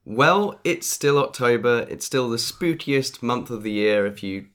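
A man in his thirties with a cold and a raspy voice, co-hosting a podcast in casual chat, thinking aloud as he goes. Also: medium pitch, expressive, measured pace, a bit bright. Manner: chatty, thinks aloud with restarts. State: has a cold. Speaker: podcast co-host.

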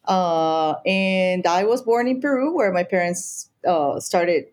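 A woman's voice: on the low side, expressive, slow pace, a little mellow. A woman who grew up in Brazil with Spanish as her first language, telling her story as a guest in a casual interview, chatty and full of fillers.

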